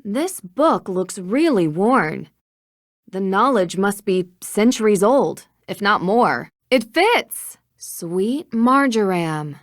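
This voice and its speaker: An animated, curious young female detective. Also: medium pitch, slow pace, no echo, clean studio sound. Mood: curious, focused. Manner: eager, inquisitive. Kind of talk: video game voice lines.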